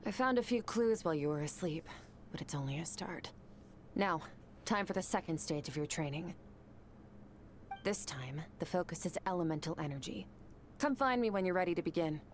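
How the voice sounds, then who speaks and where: An actress voicing a cool, aloof swordmaster mentor in a game, composed, measured and cryptic. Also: female voice, lowish voice, lively intonation, slight background noise, natural voice.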